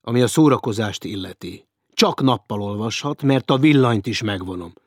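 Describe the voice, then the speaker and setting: A man playing a strict hotel official laying down the rules in a firm, commanding tone. The voice is husky and loud, clipped and cold. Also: lowish voice, lively intonation, no room sound, clean studio sound. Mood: stern. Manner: stern and commanding.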